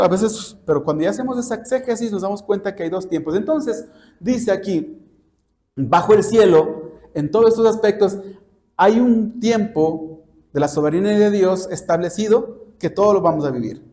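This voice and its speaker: A man's voice: high-pitched, mellow. A man preaching an expository sermon like a lesson, didactic and often checking understanding with questions. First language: Spanish. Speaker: church preacher and Bible teacher.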